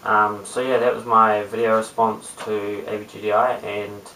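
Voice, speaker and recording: A young man with a New Zealand accent chats casually in a low-pitched, hesitant mumble. His voice is low-key and stays very even. It is picked up by a distant webcam microphone with some hiss. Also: normal speed, echoey room. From Christchurch, New Zealand. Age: young adult, late teens. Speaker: amateur video blogger. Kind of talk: casual chat to camera. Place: small bare room indoors. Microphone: webcam at a short distance.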